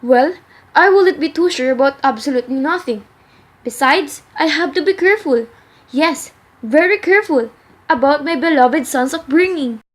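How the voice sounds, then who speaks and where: A woman's voice: sits high, lively intonation, moderate pace. A woman playing an indignant, haughty gossiping mother who fusses over her son in an amateur radio play. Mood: scolding. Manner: scolding, haughty.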